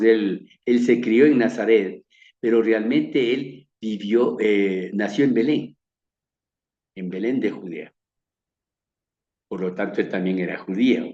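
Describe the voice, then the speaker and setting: Ecuadorian man, slow measured bible lecture, low voice, echoey dull-sounding room. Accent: Ecuadorian, from Quito. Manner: measured and earnest, repeats words, trails off softly at phrase ends. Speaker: Bible teacher. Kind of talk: slow sermon-like slideshow lecture.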